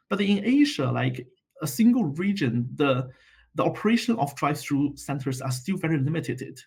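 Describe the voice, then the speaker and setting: Husky-voiced man giving expert analysis. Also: a little high. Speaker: expert guest. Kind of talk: interview answer.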